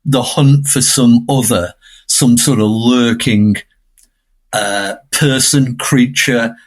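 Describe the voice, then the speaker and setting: Man, medium pitch, podcast guest, discursive, conversational analysis, repeats phrases for emphasis. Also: steady tone, takes it slowly.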